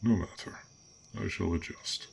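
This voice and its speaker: A man playing a gentle, curious dragon in a roleplay audio drama, with formal, old-fashioned, pensive speech. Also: sits low, a little slow, gravelly, a little hiss or hum, exaggerated voice. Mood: apologetic, formal.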